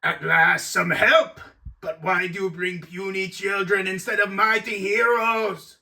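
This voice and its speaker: An actor playing a mighty, pompous fairy commander in a cartoon, booming out orders. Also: male voice, flat delivery, a little slow, vocal fry, pushed and bright, recorded from a distance, exaggerated voice. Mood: impatient disdain. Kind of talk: cartoon hero acting.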